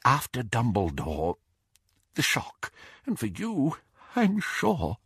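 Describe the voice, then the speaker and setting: A man voicing a frail, fussy old male wizard with a high-pitched, wheezy voice. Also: huge pitch swings, measured pace, fairly bright tone, slightly rough, full character voice. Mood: tearful. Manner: flustered, tearful, indignant. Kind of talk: audiobook character voice.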